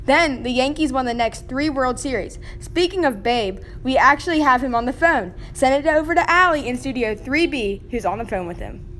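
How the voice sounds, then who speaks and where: A female radio host with a high voice reads a scripted show in Roaring Twenties style, full of old slang. There is faint noise behind her. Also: lively intonation, normal speed, a bit bright.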